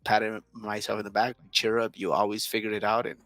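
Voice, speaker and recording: A man's voice: lowish voice, flat delivery, a little fast, a bit bright, no echo. A man in his thirties, a native Spanish speaker from Southern California, talking earnestly as an interview guest, with many restarts and fillers. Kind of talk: casual interview talk.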